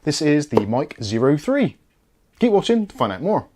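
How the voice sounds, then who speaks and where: A man's voice: on the high side, sing-song, moderate pace. A British man giving a casual product review and unboxing, explaining things in a chatty, easygoing way.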